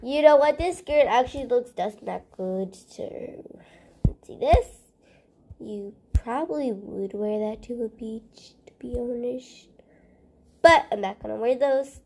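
A woman's voice: on the high side, a little slow, a little mellow, a little hiss or hum. A woman hosting a gaming channel, casually thinking aloud over gameplay in a hesitant way, often trailing off into murmurs.

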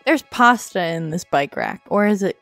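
A woman's voice: on the low side, lively intonation, moderate pace, gravelly, a bit bright, no room sound, soft background music. A woman playing a video game as let's play co-host, chatting casually while she plays. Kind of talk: casual gaming chat and commentary.

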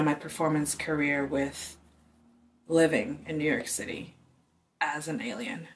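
A woman in her twenties from Taiwan, a dancer, speaking softly and thoughtfully in an interview with some halting pauses. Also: low-pitched, slight background noise. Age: 20s. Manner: soft-spoken, thoughtful, halting. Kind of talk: interview testimony. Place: dark studio indoors.

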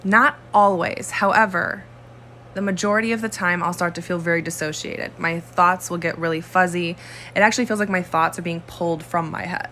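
A woman calmly explaining something in a short video monologue. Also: lowish voice, fairly quick, a little hiss or hum.

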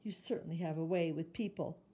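A woman's voice: sits low, brisk, warm tone, slightly rough, pitched below the performer's own voice. A woman voicing a kindly, good-humoured mother in a children's storybook, speaking in a reassuring way. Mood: gently amused. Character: gentle, good-humoured, indulgent mother of a little girl.

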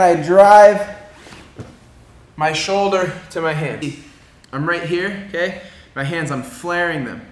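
A man in his thirties giving a casual, slangy martial arts lesson as a laid-back instructor, often checking that he is being followed. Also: on the high side. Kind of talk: casual hands-on technique demonstration. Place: indoor gym with mats and padded walls. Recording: echoey room with background noise.

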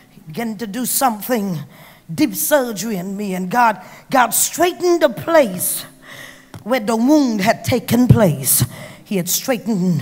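A middle-aged woman from Trinidad preaching an emphatic sermon on a handheld mic, going from hushed to loud. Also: fairly high pitch. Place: large banquet hall on stage. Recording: long hall reverb.

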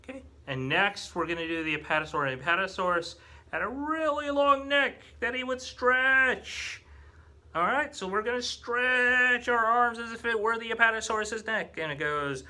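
An American man in his thirties leads a children's storytime as a librarian, speaking in an animated, exaggerated way for young listeners. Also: very high-pitched, normal speed. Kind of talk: children's storytime, leading rhymes and movement songs. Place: small indoor meeting room with whiteboard.

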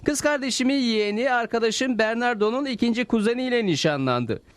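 Bir adam, çizgi film dublajında lafı uzatan, içten ve dedikoducu bir Meksikalıyı canlandırıyor. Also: male voice, extremely high pitch, animated, fairly quick, no room sound. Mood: earnest. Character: earnest, rambling, gossipy Mexican informant. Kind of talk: cartoon dub acting.

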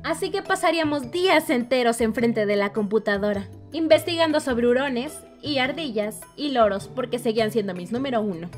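Woman playing a high-pitched, excitable cartoon storyteller. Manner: expressive, playful, comically dramatic. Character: excitable animal-obsessed young woman animator telling childhood stories. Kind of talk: animated storytime narration, Spanish fandub.

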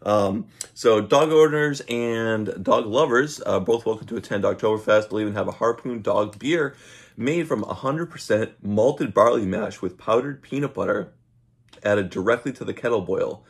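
A man's voice: fairly low pitch, normal speed. A man in his thirties talking casually to camera as a vlogger, easygoing and a little hesitant, recorded at home. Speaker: vlogger and dog owner. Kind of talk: casual unboxing chat to camera. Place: small room at home, indoors. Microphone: camera mic at arm's length.

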